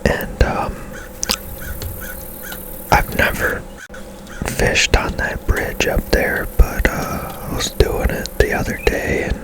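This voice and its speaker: A game host giving ASMR gameplay commentary in a soft, breathy whisper, gentle and unhurried with pauses.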